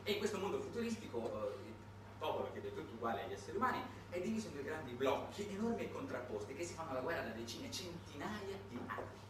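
A man delivers a satirical narrative monologue in a theatrical, highly varied voice. His delivery is dramatic and changeable. He is heard from far off in a very reverberant space, with clear noise on the recording. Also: big pitch swings, fast talker. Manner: dramatic delivery swinging between hushed and shouted. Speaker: stage monologist, writer-performer. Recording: echoey, noisy recording from a distance.

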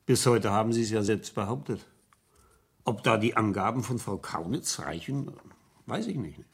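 An actor playing an unhurried, probing police inspector in a 1970s German radio crime drama, insistent and colloquial. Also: male voice, on the low side, expressive, husky. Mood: probing, patient. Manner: insistent, colloquial, ends questions with tags.

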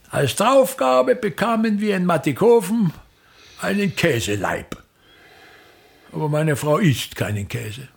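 A pompous, self-pitying touring theatre impresario in a radio play. The voice is fairly high for a man and heavily creaky, with a husky edge. He declaims with grand disdain, as if every complaint belonged on a stage. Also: exaggerated voice. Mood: grumbling. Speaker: actor playing a travelling playwright-actor. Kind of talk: theatrical radio drama monologue.

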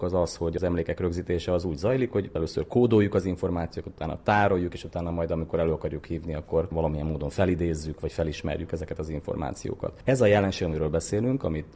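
A man's voice: low-pitched, mellow. A man speaking as an academic expert in an interview, explaining research in an articulate, scholarly way. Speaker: psychologist and brain researcher, interview guest.

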